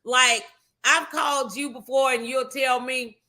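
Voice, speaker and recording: A woman's voice: high-pitched, steady tone, a little slow, pushed and bright. A middle-aged American woman hosting a casual motivational talk show, speaking in an emphatic, encouraging way.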